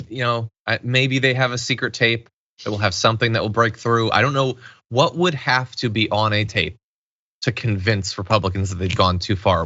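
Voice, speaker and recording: A man's voice: lowish voice, bright, forceful tone, highs cut off. A man hosting a political talk show, giving informal, sardonic commentary in a conversational way.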